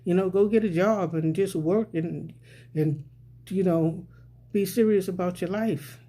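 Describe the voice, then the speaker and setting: A man's voice: high-pitched, a little slow, dark tone. A man vlogging a rambling, casual social commentary, often repeating "you know what I'm saying.".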